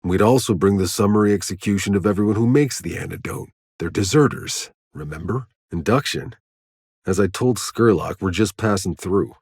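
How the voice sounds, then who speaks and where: An actor playing a gruff, world-weary soldier and scavenger in a video game, terse and dry, with a low husky voice. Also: male voice, moderate pace, a little mellow, dry recording. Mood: terse, serious.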